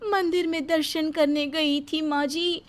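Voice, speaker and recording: A woman voicing a wicked, gloating eldest daughter-in-law who mocks in a shrill voice in a cartoon. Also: fairly even intonation, measured pace, soft background music. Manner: mocking, shrill.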